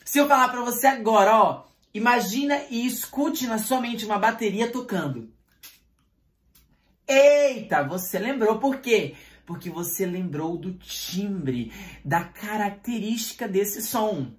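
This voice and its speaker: A male singing teacher giving a video lesson, speaking with animated energy and firing rhetorical questions straight at the viewer. His voice carries a noticeable room echo around it. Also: sits high, unhurried. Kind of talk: online voice lesson. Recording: roomy echo.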